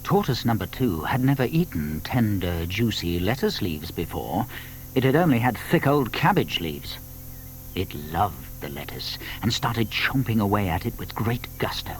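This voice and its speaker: A man narrating a children's story from a script, with deliberate emphasis. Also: lowish voice, slight background noise, rough recording.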